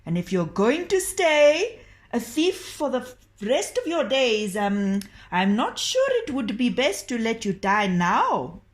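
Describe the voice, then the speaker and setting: A woman's voice: fairly high pitch, big pitch swings, slow pace, pitched above the performer's own voice. A woman voicing a grave, hesitant male doctor who soothes and stalls.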